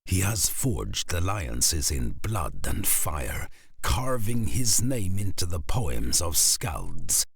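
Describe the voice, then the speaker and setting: An actor playing a grave saga narrator in a Viking video game, gravelly and husky, with portentous, deliberate scripted delivery. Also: male voice, deep voice, wildly swooping pitch, no echo, clean studio sound, full character voice. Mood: ominous, epic.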